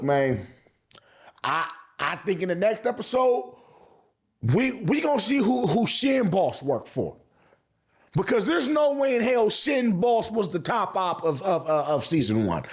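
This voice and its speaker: A man giving casual, opinionated anime commentary as a vlog host, speaking in emphatic slang with frequent swearing and repeated phrases. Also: high voice. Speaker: anime reviewer and channel host.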